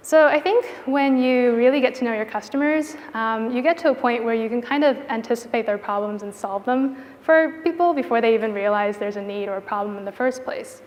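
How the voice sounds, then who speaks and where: Female conference speaker, higher-pitched voice, informal conversational delivery, recorded in a big echoing hall. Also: a little fast, few pauses. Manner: casual, informal phrasing with frequent "like" and "you know". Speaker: product designer giving a conference talk. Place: indoor conference venue. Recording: reverberant hall with long echo.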